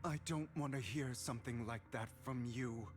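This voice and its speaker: An actor playing a proud, troubled young avenger in a video game, with intense theatrical phrasing. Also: male voice, mid-range voice, moderate pace, faint music behind, close to an everyday voice. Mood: bitter, defensive. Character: proud, troubled young male avenger haunted by his bloodline.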